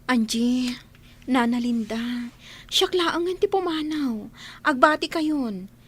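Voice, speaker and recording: A woman plays a meek, dutiful housemaid in a radio drama. She has a high voice with a breathy, husky edge, submissive and subdued. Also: expressive, slow pace, a bit bright, heavily acted. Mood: resigned, gentle. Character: meek, dutiful housemaid Felisa, resigned and gentle-tempered. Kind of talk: Ilocano radio drama acting.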